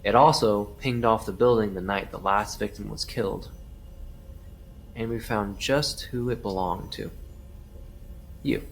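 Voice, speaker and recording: An actor playing a skeptical, weary police detective who presses quietly in an audio drama. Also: male voice, on the low side, a little slow, creaky, long pauses, a little hiss or hum, low-quality recording. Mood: firm, probing. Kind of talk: audio drama acting, interrogation and confessional narration.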